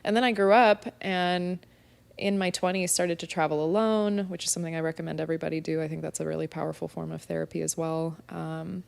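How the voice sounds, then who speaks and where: A woman's voice: deep voice, steady tone, fairly quick, gravelly, clear, resonant tone. A woman from San Diego whose first language is Spanish, talking openly and reflectively as a podcast guest. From San Diego, California. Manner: reflective, open storytelling. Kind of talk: casual conversation.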